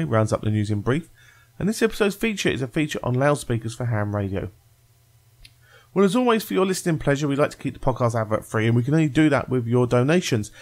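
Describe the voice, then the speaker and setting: A man's voice: medium pitch, creaky, darkish tone. A man reading a scripted introduction as a podcast presenter. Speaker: podcast host and news presenter.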